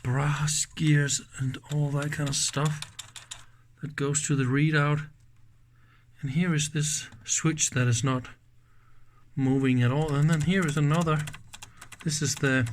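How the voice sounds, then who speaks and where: Slow-paced man thinking aloud over electronics. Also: on the high side, a little hiss or hum. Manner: thinking aloud, openly admiring the equipment. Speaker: electronics teardown host. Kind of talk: casual hands-on teardown commentary.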